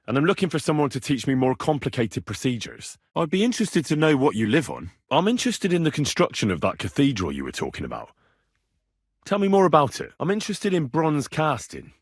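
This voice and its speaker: Medieval game hero: young British commoner, earnest and inquisitive, husky, animated delivery, informal and plain-spoken. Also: male voice, medium pitch, no echo, studio quality. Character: earnest, curious young blacksmith's son in medieval Bohemia. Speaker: video game voice actor. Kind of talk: game dialogue lines.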